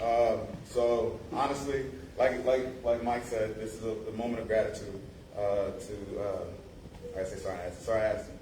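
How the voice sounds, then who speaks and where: A man with a fairly low voice gives a casual, heartfelt speech at a ceremony, leaning on "man" and "dude". He speaks with no mic and is heard from a distance in a roomy, echoing space. Steady noise sits behind him. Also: normal speed. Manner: casual and earnest, many fillers like "man" and "dude". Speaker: colleague giving a farewell speech. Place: large room at a ceremony. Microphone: no mic, recorded from a distance. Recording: echoey room from a distance, with noise.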